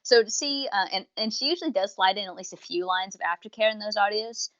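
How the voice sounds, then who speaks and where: A woman's voice: medium pitch, quick pace, fairly bright tone, no echo, dull audio. A woman co-hosting a casual review podcast, chatting in rambling explanations with quoted asides. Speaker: podcast co-host reviewing audios.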